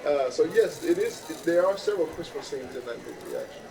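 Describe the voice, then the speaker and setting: A man speaking as a podcast guest in casual discussion, explaining his points with pauses and fillers. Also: a little high, lively intonation, a crowd in the background. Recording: distant mic, background voices.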